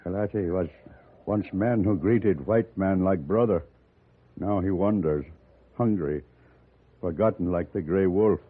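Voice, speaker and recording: A man's voice: sits low, slightly rough. A man playing a weary, sorrowful chief in a 1950s radio western, speaking solemnly in broken, simple phrasing. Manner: slow, solemn, broken phrasing without articles. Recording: muffled, phone-like 1950s radio recording.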